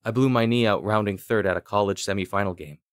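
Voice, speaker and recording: A man's voice: fairly low pitch, quick pace, no room sound, studio quality, lightly acted. A man voicing an ordinary young bartender in an audiobook, casual, curious and a little bemused.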